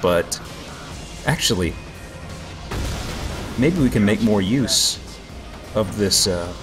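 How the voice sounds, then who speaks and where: Lively man bantering over a video game. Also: fairly low pitch, slow. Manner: joking banter. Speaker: let's play host playing the game. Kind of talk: casual gaming commentary chat. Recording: game music behind the voice. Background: video game music.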